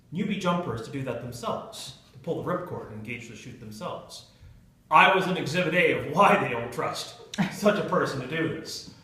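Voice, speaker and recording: A man giving a humorous storytelling speech to an audience in a theatrical manner, with dramatic pauses and stretched emphasis. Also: a little high, sharp tone. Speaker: Toastmasters club speaker telling a humorous tale. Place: indoor meeting room with an audience. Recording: echoey room, recorded from far off.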